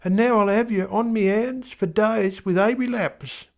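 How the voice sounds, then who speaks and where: A man putting on a high, scolding Aussie wife. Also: fairly even intonation, a little slow. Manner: firm, motherly, scolding. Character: fussy, firm young wife nursing her husband.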